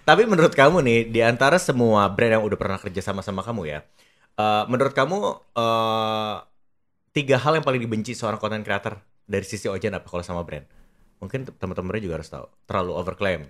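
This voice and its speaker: A man's voice: lowish voice, quick pace, fairly bright tone. A man hosting a casual interview, asking prompting questions and agreeably affirming the answers. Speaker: podcast host, interviewer.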